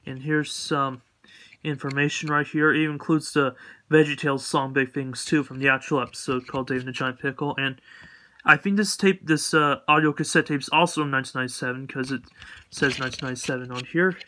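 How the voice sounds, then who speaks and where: A man giving a casual, unscripted collection update in a halting, repetitive, matter-of-fact way. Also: medium pitch, fairly even intonation, sharp tone. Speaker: collector showing purchases.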